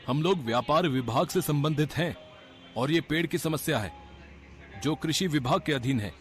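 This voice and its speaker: A man's voice: fairly high pitch, expressive, normal speed, faint music behind, close to an everyday voice. A man playing a self-important, by-the-book government bureaucrat in a cartoon story, speaking formally. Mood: officious. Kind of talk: acted cartoon story dialogue.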